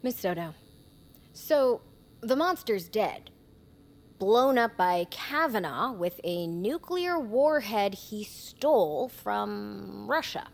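A woman acting as a skeptical government interrogator in an audio drama, deliberate, pointed and sarcastic. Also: mid-range voice, very animated, creaky, full character voice. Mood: sardonic, accusing.

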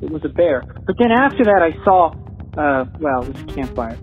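An actor playing a lonely, bumbling tipline caller who talks in a halting, stammering way in a comic audio drama. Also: mid-range voice. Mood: awkward. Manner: halting, stammering, deadpan anticlimaxes. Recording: muffled lo-fi phone call with music behind.

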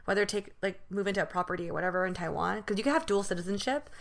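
A woman's voice: medium pitch, racing, vocal fry. A young woman chatting casually among friends as a podcast co-host, often dropping in "like" and "you know". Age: young adult.

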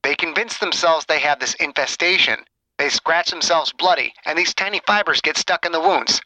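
A man's voice: on the high side, moderate pace, bright, forceful tone, no room sound, voice pushed up, poor audio. A man voicing an annoyed, contemptuous, officious male doctor in an audiobook. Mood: dismissive, contemptuous. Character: annoyed, condescending male disease task force doctor. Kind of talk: audiobook character dialogue.

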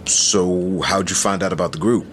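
A man's voice: low voice, steady tone, moderate pace, fairly bright tone, a little hiss or hum. A man playing an easygoing but guarded survivor in an audio drama, talking in a casual, understated way. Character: easygoing, guarded male shooting survivor, an illustrator. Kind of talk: naturalistic audio drama dialogue.

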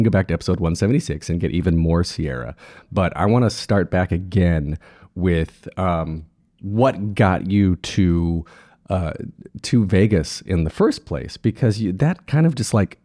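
A man hosting a podcast, his voice husky and hoarse from a cold, chatty with frequent restarts. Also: low voice, no room sound, clean studio sound. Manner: chatty, restarts, lively intro plugs. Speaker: podcast host. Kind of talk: casual podcast chat and intro.

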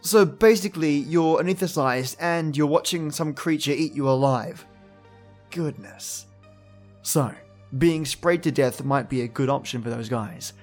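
An Australian man hosting a podcast, giving casual, reflective commentary. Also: on the high side. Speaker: podcast host. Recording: faint music behind voice.

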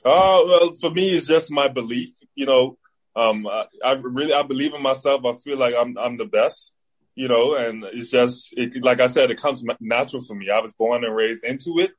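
A man's voice: medium pitch, normal speed, breathy edge. A man in his twenties from the Bahamas with a Bahamian accent, an athlete giving casual interview answers as a guest. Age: 20s. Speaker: interview guest, football player.

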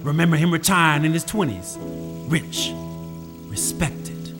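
A man's voice: on the high side, expressive. A solemn storytelling man over music. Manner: measured, solemn, evocative. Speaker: narrator. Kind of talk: scripted storytelling narration. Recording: narration over clear music. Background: music and rain.

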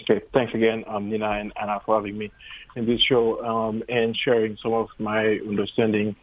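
Man, from Haiti, physician guest, halting with fillers, dry tinny phone line. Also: lowish voice, level tone, dry recording. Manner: hesitant, many pauses and fillers. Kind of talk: remote radio interview.

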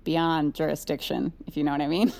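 A woman's voice: deep voice, flat delivery, a little fast, vocal fry, darkish tone. A woman playing a chummy superior agent in an audio drama, talking in a casual, wry, coaxing way. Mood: chummy, confiding. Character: breezy, chummy, sly superior female agent. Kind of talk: scripted audio drama acting.

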